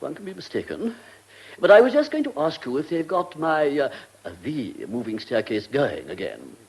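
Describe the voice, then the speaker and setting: An actor playing a pompous, vain, fussy government minister in a radio comedy drama, with an upper-class English accent and a self-satisfied, dithering manner. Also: male voice, on the high side, sing-song, normal speed, gravelly, darkish tone, rough recording.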